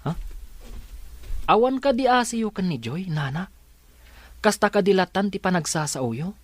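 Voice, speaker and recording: A man's voice: high voice, expressive, measured pace, no room sound. An actor playing a concerned, persistent young male neighbour in a radio drama, with an earnest, insistent delivery. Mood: gossipy, confiding.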